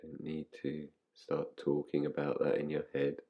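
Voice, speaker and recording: A man guiding a relaxation meditation in a calm, unhurried, soothing way, leaving long pauses between phrases. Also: a bass voice, gravelly, muffled recording. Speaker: meditation guide. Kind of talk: guided relaxation, yoga nidra meditation.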